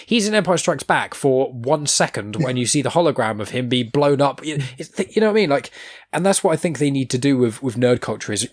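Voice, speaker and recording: A young man hosting a podcast, rambling in animated casual chat and swearing now and then. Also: mid-range voice. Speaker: podcast host.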